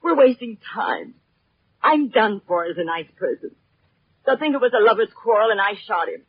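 Casting: a nervous, weary American woman singer, husky voice, defensive and halting, muffled vintage radio sound. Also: medium pitch, lively intonation. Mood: resigned. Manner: hesitant, defensive. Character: anxious, world-weary female nightclub-type radio singer under suspicion. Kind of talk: 1940s radio drama acting. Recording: dull, muffled 1940s radio recording.

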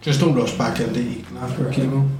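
A man's voice: medium pitch, fairly even intonation, fast talker, a little mellow, soft background music. Easygoing man, laughs a lot, distant from the mic, echoey room. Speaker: guest. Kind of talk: casual chat.

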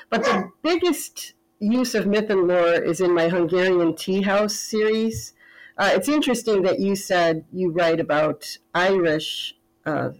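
A woman author talking as a podcast guest, thoughtful and measured, now and then pausing on fillers. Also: on the low side, rough recording. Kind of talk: casual interview chat.

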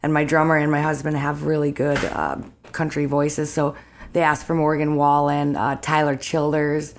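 Raspy-voiced woman singer, low and upbeat. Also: little pitch movement, moderate pace, a little hiss or hum. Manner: upbeat, chatty, laughs easily. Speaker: singer and band leader, guest. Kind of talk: casual interview chat.

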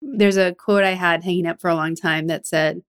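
A woman's voice: lowish voice, steady tone, moderate pace, clean tone. A woman hosting a podcast, talking in a curious, chatty way and asking follow-up questions. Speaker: podcast host. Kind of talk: casual interview chat.

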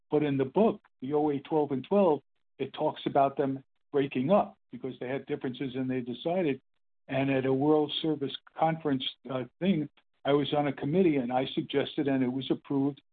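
Older man in his eighties, rambling phone-in testimony, tinny line audio. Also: mid-range voice. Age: 80s. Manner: rambling, halting, with many fillers and restarts. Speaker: meeting speaker sharing his story. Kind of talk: casual spoken testimony.

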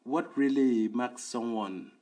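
A man's voice: mid-range voice, slow, warm tone. A young man from Nigeria hosting a casual conversation, pondering questions unhurriedly with many "you know"s. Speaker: host.

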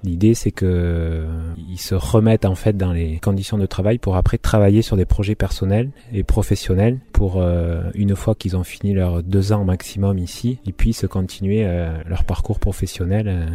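A man in his thirties from Béarn, speaking in a deep, warm, relaxed voice. He talks about his work as a market gardener in an easygoing interview manner. His speech is informal, with frequent pauses to gather his thoughts. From Béarn, France. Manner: informal, thinks aloud with frequent hesitations.

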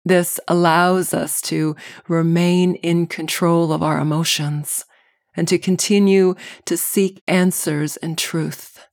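A woman podcast host narrating a scripted guided meditation in measured, deliberate phrases. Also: fairly low pitch, flat delivery, no room sound, clean studio sound.

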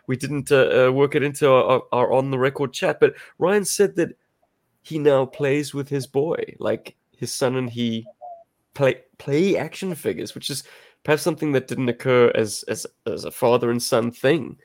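Gravelly South African podcast host. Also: male voice, mid-range voice, normal speed. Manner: chatty and self-deprecating, with frequent laughs. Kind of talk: casual podcast chat.

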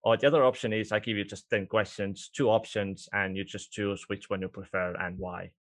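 A man hosts the show with a somewhat low voice. He talks in a relaxed way, loose with fillers. He is heard over a video call. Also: fairly quick. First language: Estonian. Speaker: show host. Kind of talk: casual interview chat.

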